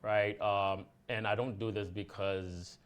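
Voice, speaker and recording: A man's voice: lowish voice, steady tone, slow pace, a bit bright, clean tone. A man from Haiti, a guest on a talk show, speaking earnestly with many ums. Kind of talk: casual chat.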